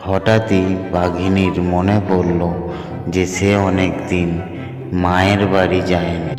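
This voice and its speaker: A man's voice: sits low, flat delivery, takes it slowly. A man narrating a scripted children's fairy tale in a storytelling role. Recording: echoey hall, loud music behind.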